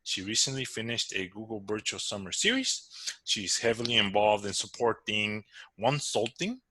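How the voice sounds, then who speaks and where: A man's voice: fairly low pitch, lively intonation, moderate pace, bright, forceful tone, husky. A man hosting an interview podcast, talking in a loose conversational way with fillers and restarts. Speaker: podcast host.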